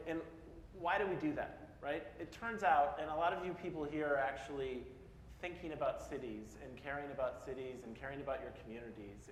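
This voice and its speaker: A man in his forties from Peoria, Illinois, giving a casual, self-deprecating conference talk and asking the audience questions. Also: a little high. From Peoria, Illinois (US Midwest). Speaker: speaker at a public talk. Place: large hall in front of an audience. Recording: echoey hall with faint noise.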